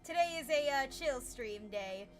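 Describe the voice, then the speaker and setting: A high-pitched woman chats her way through a casual gaming stream, energetic and naturally loud. Her desk mic sometimes peaks, and soft game music plays under her voice. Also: unhurried. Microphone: desk mic, peaking.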